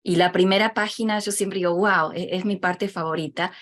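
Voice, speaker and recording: A woman's voice: lowish voice, steady tone, normal speed, fairly bright tone. A woman hosting an interview as a casual, personal conversation. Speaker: interview host.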